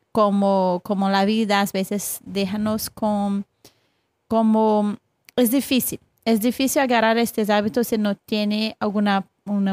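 A young woman from Brazil with a Brazilian Portuguese accent, a guest coach speaking Spanish as a second language, halting and searching for words. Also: mid-range voice, no echo. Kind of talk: casual interview talk.

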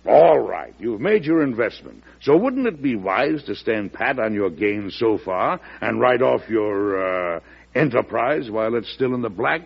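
An actor playing a forceful, shrewd American president in an old radio drama, frank and emphatic, with an American accent. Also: male voice, fairly low pitch, big pitch swings, measured pace, creaky, husky, exaggerated voice. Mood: persuasive. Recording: muffled vintage radio broadcast recording.

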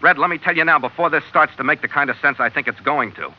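An actor playing a tough, weary adventurer skipper in a hard-boiled old-time radio drama, with clipped delivery. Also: male voice, a little high, fast talker, natural voice. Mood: exasperated. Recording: dull, lo-fi old radio recording.

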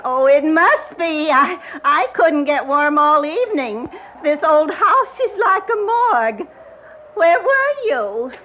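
A woman's voice: sits high, sing-song, slow pace, a little hiss or hum, full character voice. An actress playing a fretful, doting elderly grandmother who rambles and fusses, in an old-time radio drama. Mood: fretful, chatty. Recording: dull old radio recording with music.

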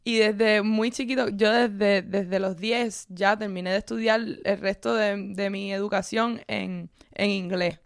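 A woman's voice: mid-range voice, steady tone, a little slow, vocal fry, few pauses, no echo, studio quality. A young woman from Cuba living in Miami, speaking Spanish with a Cuban-Miami accent and dropping English words into her sentences in casual chat. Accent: Miami Cuban Spanish with English mixed in. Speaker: content creator.

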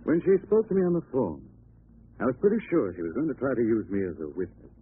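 An actor playing a composed gentleman detective in a 1940s radio drama, measured and assured as he explains things. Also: medium pitch, huge pitch swings. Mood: calm, assured. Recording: narrow-band, faintly noisy old radio broadcast.

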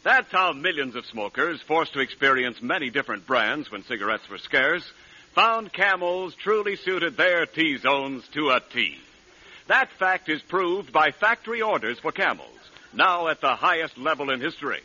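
A man's voice: on the high side, expressive, moderate pace, pushed and bright. A man working as a 1940s radio announcer, delivering scripted advertising with polished, emphatic salesmanship. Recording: dull 1940s radio recording.

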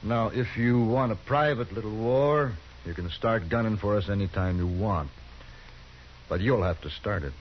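An actor playing a menacing outlaw gang leader who makes smooth, veiled threats, in a 1950s radio drama. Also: male voice, lowish voice, normal speed, slight background noise, lightly acted. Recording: dull lo-fi 1950s radio broadcast.

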